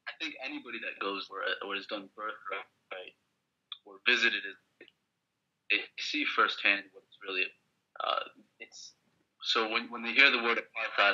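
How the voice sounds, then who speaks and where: A man from Queens, New York, debating in an online discussion, halting and repeating words as he searches for them. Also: on the low side, pushed and bright. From Queens, New York, USA. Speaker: pro-Israel debate participant. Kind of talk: online debate over a call. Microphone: video call. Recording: lo-fi internet call audio.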